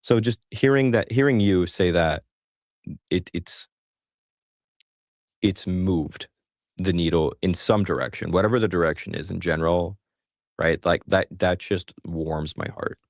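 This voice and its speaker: A man's voice: low voice, a little slow, pauses often, no room sound, studio quality. A man hosting a live call-in stream, chatting casually and joking about himself. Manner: chatty, self-deprecating, joking. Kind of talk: casual chat.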